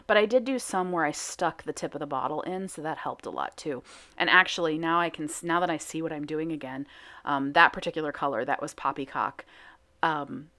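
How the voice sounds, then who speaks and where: Casting note: low-pitched woman, casual self-deprecating vlogger narrating her craft footage. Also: a bit bright. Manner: chatty, self-mocking, lots of fillers. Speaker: soap making vlogger. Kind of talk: casual voice-over commentary.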